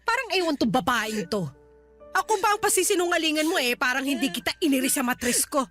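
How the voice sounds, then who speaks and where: Naggy older mom in a radio drama, high bright voice, blunt and huffy. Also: female voice, animated, fast talker, soft background music. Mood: scolding. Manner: nagging, blunt, indignant. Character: blunt, scolding older mother of a grown daughter. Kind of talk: Tagalog radio drama acting.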